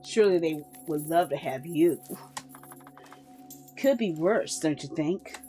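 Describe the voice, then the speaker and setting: A woman voicing a cruel male slaver who speaks in a low, quiet, taunting drawl. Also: animated, takes it slowly, faint music behind. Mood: menacing.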